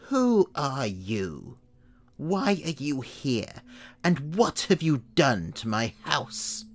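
A man's voice: mid-range voice, big pitch swings, extremely slow, pitched above the performer's own voice, exaggerated voice. A man voicing a suspicious old woman witch who lives alone, speaking sharply and interrogating. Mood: alarmed, demanding.

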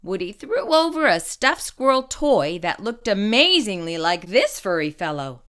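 A woman storyteller reading a children's picture book aloud, expressive and carefully phrased. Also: medium pitch, unhurried, clean tone.

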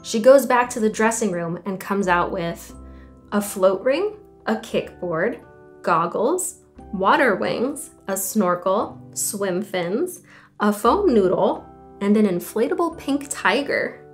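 A young woman reading stories and poems aloud to children, animated and clearly enunciated, with questions for her young listeners. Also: mid-range voice, slow, faint music behind. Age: young adult. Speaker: children's story time host and reader. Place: small indoor room at home.

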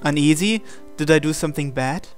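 Let's play host dubbing a visual novel hero; unhurried, mid-pitched, plain. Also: soft background music. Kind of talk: reading visual novel lines aloud in English.

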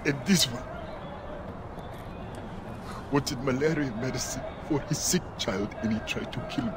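An actor playing a zealous, middle-aged preacher haunted by sin in a video game, with a grandiose, sermonizing delivery. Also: male voice, mid-range voice, measured pace, natural voice. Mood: brooding, remorseful. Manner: fervent, grandiose, quoting scripture like a sermon. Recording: game audio with clear outdoor ambience noise.

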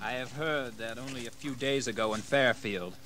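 An actor playing a bold, ambitious colonial rebel leader in a history radio drama, speaking in a proud, formal, declamatory manner. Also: male voice, mid-range voice, moderate pace, sharp tone, lightly acted. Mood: rousing. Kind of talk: dramatized history audio acting.

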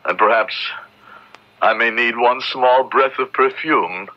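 A man's voice: medium pitch, slow pace, natural voice. A man playing a grave, devout Puritan judge in a radio drama, speaking in formal, solemn oratory. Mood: solemn, resolute. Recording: dull, band-limited old radio recording.

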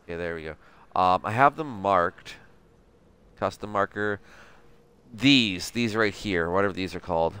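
Clear-voiced man, casual game streamer. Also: low-pitched, animated, pushed and bright. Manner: halting, thinking aloud. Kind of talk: casual livestream commentary, reading notes aloud.

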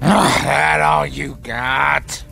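A gravelly, sneering outlaw villain. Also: male voice, a little high, fairly even intonation, extremely slow, sharp tone, exaggerated voice. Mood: menacing, taunting. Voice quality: gravelly, rasping. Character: sneering, treacherous outlaw villain, cruel and taunting. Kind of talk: video game fan dub acting. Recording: music under the voice.

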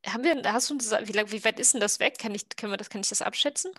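A woman's voice: fairly high pitch, very fast, fairly bright tone, slightly rough, no echo. Woman chatting casually, dry close sound. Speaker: player in a roleplaying game. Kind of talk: casual improvised game talk. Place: indoor room at home, video call.

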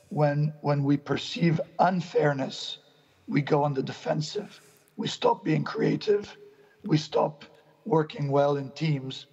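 A middle-aged man joining a panel by video call, his voice husky and worn as he is losing it, speaking deliberately. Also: a little mellow. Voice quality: husky, low. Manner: deliberate, repeats words. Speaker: company executive, remote panelist. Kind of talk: conference panel answer. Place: room at home, played into a hall. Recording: dull video call in a reverberant hall.

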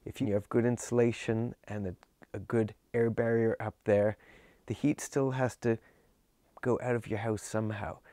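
A man's voice: on the low side, fairly even intonation, moderate pace, warm tone, no room sound. Man explaining basement insulation, dry sound. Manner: explanatory, points and gestures while talking. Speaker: home energy auditor. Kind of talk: instructional walkthrough. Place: small unfinished basement with concrete block walls.

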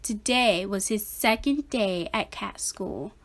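A woman's voice: mid-range voice, takes it slowly. A woman narrating a children's storybook as a read-aloud. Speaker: storybook reader.

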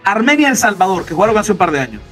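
A man's voice: high-pitched, very animated, very fast, fairly bright tone, faint music behind. A man debating soccer on a sports radio show, insistent and argumentative, firing rhetorical questions. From Central America.

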